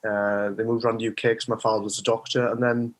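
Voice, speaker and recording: A man's voice: on the low side, flat delivery, fast talker. A middle-aged man with a Welsh accent, a guest mind coach speaking thoughtfully with frequent hesitations. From Wales. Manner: hesitant, thoughtful, with many fillers. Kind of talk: conversational interview.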